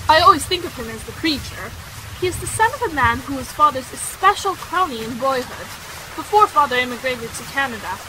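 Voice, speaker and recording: High-voiced, chatty young society woman, played by an actress. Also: a little fast, noisy background. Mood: confiding. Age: young adult. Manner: breathlessly talkative, emphatic, dramatic. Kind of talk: audio drama acting, period dialogue. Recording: steady rain sound effects behind.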